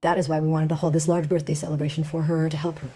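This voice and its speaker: Crime audio play: careful, slightly snobbish grieving mother, restrained, very mellow, fairly low. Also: little pitch movement, fast, slightly rough. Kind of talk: crime radio play acting.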